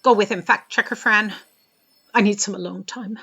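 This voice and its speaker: An actress playing an imperious, world-weary boss in an audio drama, curt and commanding. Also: female voice, mid-range voice, steady tone, normal speed, vocal fry, pushed and bright, husky, heavily acted.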